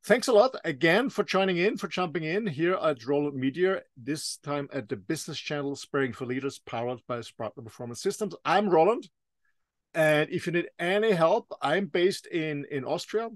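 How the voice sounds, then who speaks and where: A man with a German accent, a native German speaker, hosting a business podcast in English, halting and repeating words as he wraps up. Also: fairly high pitch, animated, dry recording, clean studio sound. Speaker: podcast host. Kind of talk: interview and sign-off.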